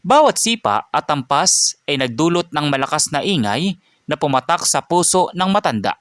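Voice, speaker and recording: A man narrating a scripted dramatic story in a steady storytelling manner. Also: fairly high pitch, moderate pace, no echo, clean studio sound.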